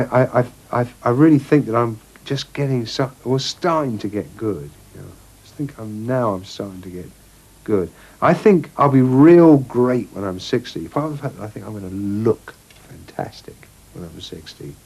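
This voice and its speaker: Halting, self-mocking man in his forties. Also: on the low side, darkish tone, rough recording. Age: 40s. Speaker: songwriter interviewee. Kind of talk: casual interview chat.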